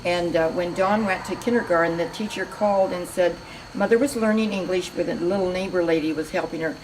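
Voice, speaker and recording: A woman's voice: on the low side, steady tone, a little fast, background noise. An older woman from Seattle being interviewed, reminiscing in a chatty, meandering way with frequent restarts. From Seattle, USA.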